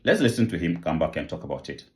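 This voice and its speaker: A man's voice: extremely low pitch, sing-song, quick pace, a little mellow. A man hosting an online channel, talking earnestly to viewers with repeated rhetorical questions. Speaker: channel host. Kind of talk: vlog commentary, appeal to viewers.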